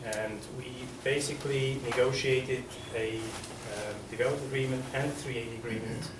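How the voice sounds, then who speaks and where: A man with a Swiss accent addresses a planning workshop, pausing often on ums and restarting his sentences. He sits well back from the microphone in a reverberant council chamber. Steady background noise runs under the echoey recording. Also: mid-range voice. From Switzerland. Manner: hesitant, many ums and restarts. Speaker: developer. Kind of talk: presentation at a public meeting. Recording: echoey meeting room from far off, noisy.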